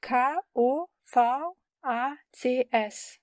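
A woman speaking slowly and clearly as a caller in a scripted learner dialogue. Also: high voice, darkish tone, dry recording, clean studio sound. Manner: slow, clear articulation.

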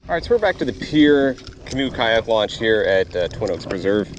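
A man's voice: on the low side, big pitch swings, moderate pace, slight background noise, low-quality recording. A man giving casual, laid-back vlog commentary as he fishes, recorded on an action camera outdoors in a kayak. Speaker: fishing vlogger. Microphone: body-worn action camera.

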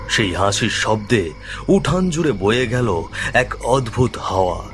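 A man narrating a scripted horror story in a measured, suspenseful way, phrase by phrase. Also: lowish voice, big pitch swings, fairly bright tone, a little hiss or hum.

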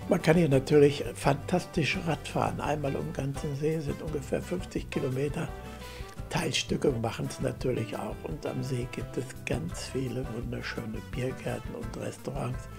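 An older man narrates a camping travel vlog in a friendly, chatty way, handing out recommendations. His voice is gravelly and sits at a middle pitch. Music plays clearly beneath him. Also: fairly even intonation, moderate pace. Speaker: vlog host.

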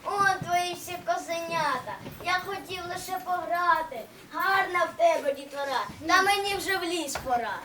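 A young performer plays a scheming wolf in a children's stage musical, declaiming in verse. The voice is very high and sharp, heard from far off in an echoey hall with some noise. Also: moderate pace, hardly any pauses. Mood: placating. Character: sly wolf who tricks and menaces little kids. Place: school hall stage, recorded from the audience. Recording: echoey hall, distant noisy camera mic.

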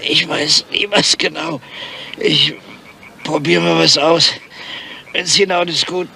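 An actor playing a crafty, weary, grumpy police inspector in a radio crime drama, speaking in a dry, measured, deliberate way. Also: male voice, a little high, fairly even intonation, creaky, pushed and bright, heavily acted. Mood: calm, probing. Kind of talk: 1970s German crime radio drama acting. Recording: noisy, dull old radio drama recording.

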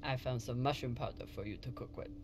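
A woman's voice: rumbling low, quick pace, big-room sound. A woman playing a guarded, hard-edged spaceship captain in a video game, with terse, probing delivery. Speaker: game protagonist.

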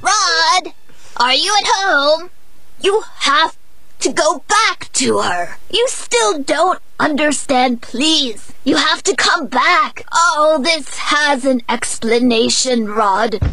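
A woman's voice: sits high, expressive, takes it slowly, pushed and bright, full character voice, poor audio. A woman playing an earnest, pleading messenger in a dramatic game cutscene, urgent and beseeching.

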